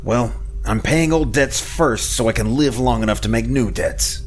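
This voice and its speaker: A man's voice: medium pitch, moderate pace, a little hiss or hum, natural voice. A man playing a roguish space smuggler in a scripted sci-fi audio drama, wry, defiant and sardonic. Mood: dismissive.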